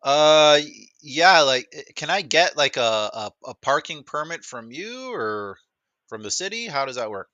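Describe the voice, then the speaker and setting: A man's voice: a little high, big pitch swings, measured pace, pushed and bright, no echo, lo-fi sound. A man giving a casual, unscripted demonstration, often breaking off and repeating words as he goes. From Canada, greater Toronto area. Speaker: presenter of a product demo, acting as a caller.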